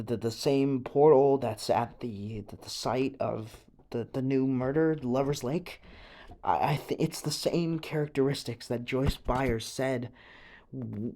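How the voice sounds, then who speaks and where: A man acts out an earnest young American from a small town, confessing over the radio in an audio drama. He takes his time, at a mid-range pitch, stammering and rambling. He sounds rattled and set on exposing a hidden truth. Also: mellow. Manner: halting, stammering, rambling, sighing.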